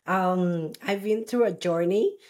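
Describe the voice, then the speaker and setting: A woman's voice: on the low side, darkish tone. Earnest woman from Costa Rica. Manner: halting, earnest, many restarts. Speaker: guest. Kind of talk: personal story in an interview.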